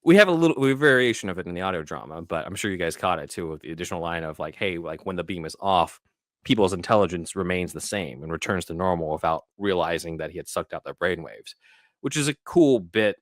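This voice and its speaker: A man's voice: low voice, animated, quick pace, no echo, clean studio sound. A man hosting a podcast, chatting and explaining things in a casual film discussion. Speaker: podcast host.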